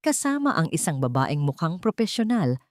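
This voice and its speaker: A woman with a Filipino accent narrates a scripted story in a measured, dramatic way, in short phrases. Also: low-pitched, very animated, a little mellow, no echo, studio quality.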